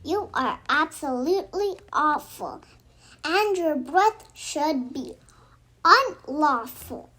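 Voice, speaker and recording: A female reader telling children's verse in an animated, expressive, sing-song storytelling style. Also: sits high, takes it slowly. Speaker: children's book narrator.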